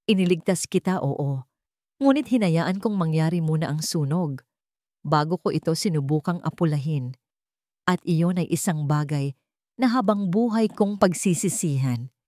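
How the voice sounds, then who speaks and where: A woman voicing a solemn, remorseful old male hacienda patriarch in a dramatic audio storybook, speaking gravely and deliberately. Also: sits low, lively intonation, darkish tone, no room sound, studio quality. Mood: regretful.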